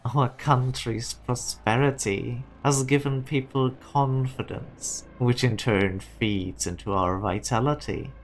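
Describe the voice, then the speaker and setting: Slow, speechifying ambitious businessman played by a man. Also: lowish voice, rough recording. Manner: deliberate, speechifying. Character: ambitious, self-made businessman, proud of progress. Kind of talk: scripted fan-dub game drama monologue.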